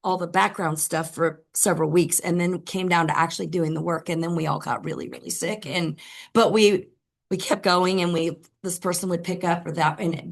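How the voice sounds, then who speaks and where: A woman with a hoarse voice, reporting at a school board meeting in a chatty way with many fillers and restarts. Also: on the low side. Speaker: school administrator.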